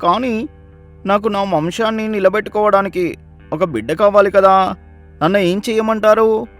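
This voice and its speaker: A cowed young village husband from a moral-story cartoon, acted by a man, pleading in a high, flustered voice. There is light music behind him. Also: moderate pace, a bit bright. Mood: apologetic, anxious.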